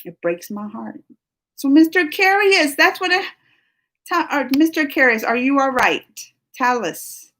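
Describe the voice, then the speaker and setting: A woman's voice: high-pitched, animated, a little slow, a bit bright. A woman in her thirties hosting a casual live stream, talking in an emotional, rambling way with many restarts and fillers. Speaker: livestream host.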